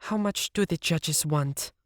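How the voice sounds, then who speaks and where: List: man putting on a high, brash rich young woman, blunt, indignant, sounds a bit distant. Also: a little slow, no room sound, clean studio sound, close to an everyday voice.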